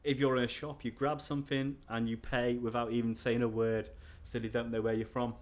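A middle-aged man with a Scouse accent answers interview questions at a mid pitch. He rambles in a casual, chatty way, full of fillers and restarts. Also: flat delivery, fairly quick. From North Wales.

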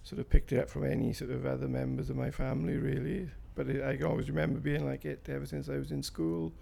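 A man's voice: mid-range voice, little pitch movement, fast talker, creaky, warm tone, breathy edge. A man in his fifties with a South Wales Valleys accent, answering interview questions in a hesitant, rambling way with fillers and restarts. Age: 50s. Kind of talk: recorded interview.

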